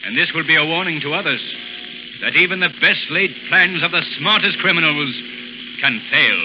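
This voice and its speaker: Guy acting as calm serious american gentleman hero, highish voice, noisy old 30s radio drama. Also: normal speed, natural voice. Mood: assured. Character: composed gentleman adventurer, assured and serious. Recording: muffled noisy 1930s radio recording.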